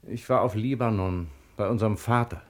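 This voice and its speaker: An actor playing a proud, careworn sultan in a 1950s German radio play, with declamatory stage diction. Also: male voice, fairly low pitch, a little fast, close to an everyday voice. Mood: troubled, bitter. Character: proud, generous, careworn sultan, devoted brother.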